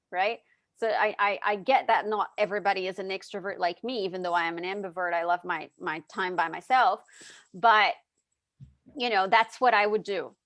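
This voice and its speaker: A woman's voice: mid-range voice, a bit bright, no room sound. A woman in her thirties, a guest in an interview, giving chatty advice with lots of fillers. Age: 30s. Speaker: guest, dietitian and hypnotherapist. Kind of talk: casual interview chat.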